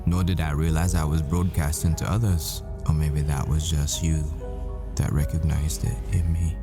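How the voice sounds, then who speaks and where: A man playing a tender, haunted professor boyfriend in an intimate audio roleplay, speaking in halting, wistful, confessional phrases. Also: low-pitched. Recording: music behind the voice. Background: music.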